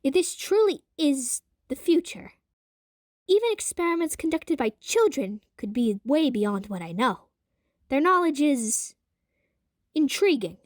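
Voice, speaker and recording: A woman acts out an old-fashioned man of science in an audio drama. He speaks formally and wordily, a little guarded, in a high, expressive voice recorded dry. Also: unhurried, dry recording. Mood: guarded, earnest. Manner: formal, wordy, hesitant.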